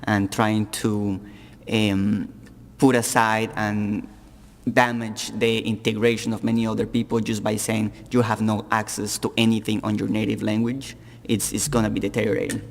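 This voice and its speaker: A male panelist from Colombia with a Colombian Spanish accent, a native Spanish speaker, giving halting remarks at a public forum and often repeating words. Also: fairly low pitch, little pitch movement, vocal fry, in a large hall.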